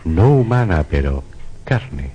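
Very deep-voiced male horror narrator. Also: sing-song, slow, warm tone, low-quality recording. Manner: suspenseful, theatrical, sinister. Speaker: narrator of a horror radio play. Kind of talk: dramatic scripted horror narration. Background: music.

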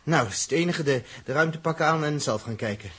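A man's voice: on the high side, fairly quick, a bit bright, breathy edge. An actor playing a bossy, exasperated, practical spaceship crewman who gives impatient, clipped orders in a 1960s Dutch radio drama. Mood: exasperated, weary. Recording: noisy, clicking 1960s radio recording.

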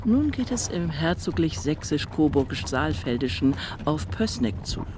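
A narrator in a scripted radio drama, telling a poet's journey in measured, wry, literary phrases. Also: medium pitch, big pitch swings, noisy background, natural voice.

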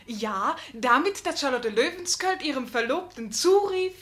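An eager young city woman in a radio drama. The voice is high, curious and inquisitive, full of exclamation. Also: lively intonation, unhurried, fairly bright tone.

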